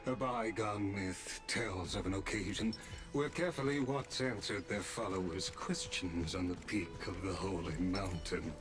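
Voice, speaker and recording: Low, slow, cryptic fantasy gentleman. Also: over music. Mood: knowing, measured. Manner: formal, measured. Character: courteous, cryptic man welcoming travellers in fantasy game. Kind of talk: scripted game voice acting.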